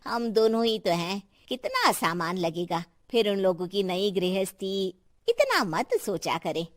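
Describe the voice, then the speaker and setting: A woman's voice: medium pitch, expressive, unhurried, lightly acted. A woman voicing a generous, easygoing older mother-in-law in a family story, with an indulgent, soothing manner. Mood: wistful, soothing.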